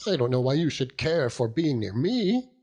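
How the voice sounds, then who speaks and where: A man's voice: mid-range voice, big pitch swings, normal speed, darkish tone, slightly rough, voice pushed up. A man voicing a sweet, naive young lady, coy and petulant, for an audiobook. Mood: coy, scolding. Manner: coy, ingenuous. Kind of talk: audiobook character voice.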